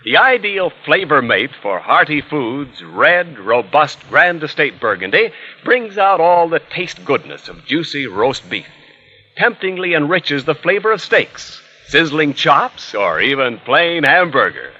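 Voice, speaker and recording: A man's voice: a little high, big pitch swings, a little slow, bright, forceful tone. An American man announcing scripted 1940s radio advertising in a polished, emphatic sales manner. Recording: dull, lo-fi vintage radio recording.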